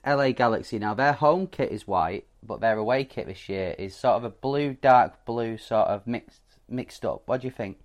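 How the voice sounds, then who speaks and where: A man's voice: medium pitch, normal speed, no echo, highs cut off. A young British man co-hosting a casual football podcast, chatty and hesitant, with lots of ums and restarts. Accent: British English. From Britain. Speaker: podcast co-host.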